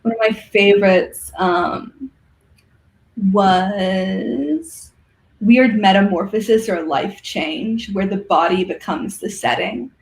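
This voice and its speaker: An interview guest talking casually and thoughtfully, in a halting way, with a roomy echo. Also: medium pitch. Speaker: guest, organizer of a fiction podcast and symposium. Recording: roomy, echoey sound.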